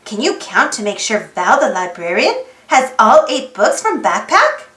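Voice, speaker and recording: A woman reading a children's picture book aloud in an animated way, putting questions to young listeners. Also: fairly high pitch, unhurried, reverberant. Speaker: storybook read-aloud host.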